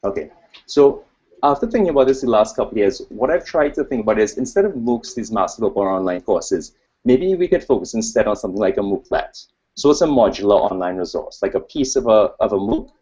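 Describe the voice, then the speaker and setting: A man's voice: lowish voice, darkish tone, husky. A man from Trinidad with a Trinidadian accent, giving an informal conference talk as a researcher in a digressive, self-deprecating way. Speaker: academic researcher presenting at a conference. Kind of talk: informal conference presentation with slides. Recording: dull, lo-fi webcast audio.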